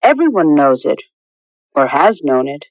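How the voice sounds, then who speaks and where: An actress playing a careful, knowing young fiancée in an old-time radio drama, measured and a little coy. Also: female voice, low-pitched, sing-song, no echo, exaggerated voice. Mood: doubtful. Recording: dull, lo-fi radio drama recording.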